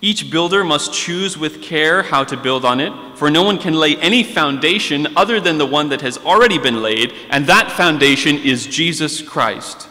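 A man in his thirties preaching a sermon at a pulpit microphone in a large church sanctuary, earnest and deliberate, reading scripture aloud. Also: on the high side, animated, pushed and bright. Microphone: pulpit microphone on a stand. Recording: long church reverb.

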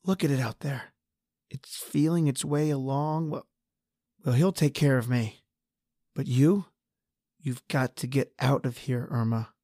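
A man's voice: mid-range voice, steady tone, measured pace, long pauses, dark tone, no echo, studio quality, voice pushed down, natural voice. A man playing a desperate, injured husband trapped underground in a horror radio drama. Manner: pleading, ragged, then defiant.

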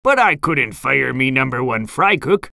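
A woman voicing a blustery, money-loving old male crab restaurant boss in a children's storybook, gruff and piratical. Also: a bass voice, big pitch swings, unhurried, pushed and bright, no room sound, clean studio sound, exaggerated voice. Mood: bossy.

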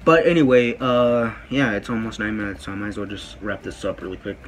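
A teenage boy with a deep voice talks into a phone camera in a small bedroom. He rambles casually, full of "um" and "like". A faint hum of room noise sits behind him. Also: steady tone, a little fast. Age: teens. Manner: rambling, many fillers and "freaking". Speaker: vlogger. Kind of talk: casual vlog talk to camera. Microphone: phone camera at close range.

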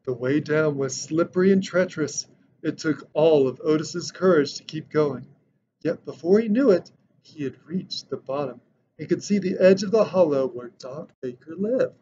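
Guy reading a kids book out loud, kinda high voice, dramatic, muffled recording w some noise. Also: normal speed. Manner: expressive, dramatic storytelling. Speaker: storybook reader. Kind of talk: children's picture book read-aloud. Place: indoor decorated room. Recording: dull lo-fi sound with background noise.

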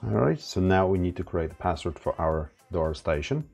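Very deep-voiced man giving a tutorial. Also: very animated, moderate pace, gravelly, darkish tone. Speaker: product demonstrator. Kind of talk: casual step-by-step tutorial narration. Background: background music.

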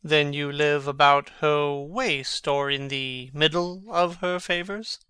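A man's voice: fairly high pitch, steady tone, takes it slowly, sharp tone, smooth, no room sound, studio quality. A man playing a mocking, brooding prince who feigns madness, theatrical and sardonic in a Shakespearean dramatic reading. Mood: jovial.

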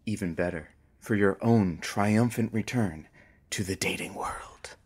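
An actress playing a teasing, irreverent radio producer and sidekick, sardonic in audio drama banter. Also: female voice, rumbling low, measured pace, darkish tone, husky, exaggerated voice. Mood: dry teasing.

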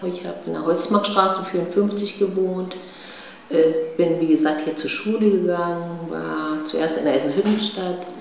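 A woman's voice: on the low side, gravelly. Berlin woman in a very echoey room. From East Berlin, Germany, born in Chile. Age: 50s. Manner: halting, searching for words, often seeking agreement with tag questions. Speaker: interviewee, political activist. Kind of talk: personal testimony, recounting family history to camera. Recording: very echoey room, faint background noise.

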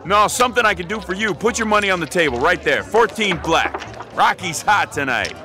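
A brash American small-time crook in a radio play. His voice runs high and swings widely as he talks like a slangy, womanizing wise guy, with music playing behind him. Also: lively intonation, a little fast. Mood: cocky. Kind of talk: radio drama acting.

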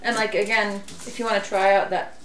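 A woman's voice: medium pitch, little pitch movement, fairly quick. A woman in her thirties from Lebanon, hosting a gardening video and chatting casually with many fillers and giggles. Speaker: gardening channel host. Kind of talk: casual chat, seed haul. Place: kitchen, seated at table. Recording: roomy, distant mic, faint hiss.

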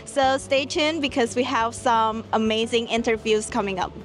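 A woman's voice: on the high side, fairly even intonation, normal speed, faint music behind. A young woman hosting a vlog, upbeat and friendly as she introduces and asks questions into a handheld mic. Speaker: host, interviewer. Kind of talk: vlog interview.

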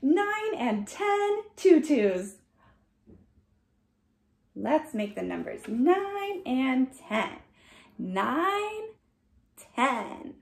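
Animated, high-pitched young woman reading to children. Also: creaky, reverberant. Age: young adult. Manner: animated, slow, sing-song, addressing children. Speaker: children's dance teacher reading picture books. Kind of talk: read-aloud for young children. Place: small furnished room indoors. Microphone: camera a short distance away.

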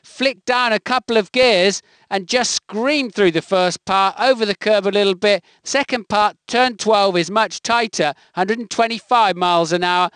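A man's voice: sits high, normal speed, fairly bright tone, clean tone, no echo. A man delivering scripted motor racing commentary for a video game in clipped, emphatic phrases. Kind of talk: scripted race commentary voice clips.

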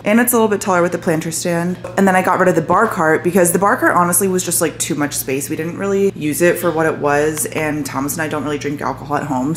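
A young woman in her twenties chatting casually to camera for her lifestyle vlog, with lots of fillers, recorded on a camera mic in her apartment. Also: fairly low pitch. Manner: chatty, many fillers, enthusiastic about stationery. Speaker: lifestyle vlogger. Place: apartment living room, indoors. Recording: echoey room with faint noise.

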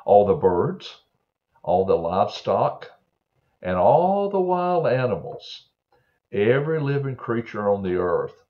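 Older male preacher, low voice with lively swings, slow earnest storytelling for kids, dull webcam sound from a home office. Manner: slow, earnest, many "uh" fillers, throat clearing. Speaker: preacher telling a Bible story to children. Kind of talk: gentle storytelling sermon for children. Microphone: webcam on a desk.

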